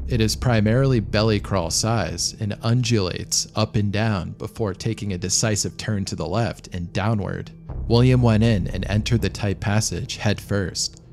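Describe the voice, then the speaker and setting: A man reading scripted documentary narration of a true disaster story in a measured, matter-of-fact way. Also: on the low side. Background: background music.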